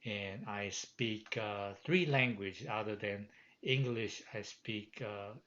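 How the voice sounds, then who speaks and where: Low-voiced professor from Taiwan, halting. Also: male voice, fairly bright tone. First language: Chinese. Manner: frequent hesitations and fillers, earnest. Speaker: university professor. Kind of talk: recorded course welcome lecture. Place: home office. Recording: dull lo-fi home recording.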